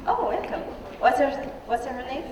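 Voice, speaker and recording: A woman's voice: high-pitched, big pitch swings, normal speed, crowd noise. A woman hosting and interpreting at a live event, chatty and full of fillers, often checking in with "okay?". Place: large exhibition hall. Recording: echoey hall, distant mic, noisy.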